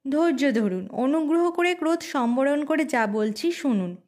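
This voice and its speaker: A woman storyteller voicing a clever male disciple who talks calmly, politely and with deference. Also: sits high, normal speed. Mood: calm, placating.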